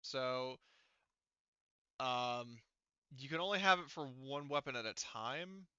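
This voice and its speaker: A man running a tabletop game as game master, casually explaining rules and haltingly thinking aloud. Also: mid-range voice, lively intonation, pushed and bright, clear, resonant tone, no echo, highs cut off.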